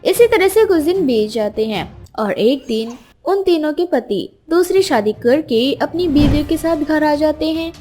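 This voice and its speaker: A woman tells a moral story as a scripted narrator. Her pitch is high, and music plays beneath the voice. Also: animated, measured pace, hardly any pauses, mellow.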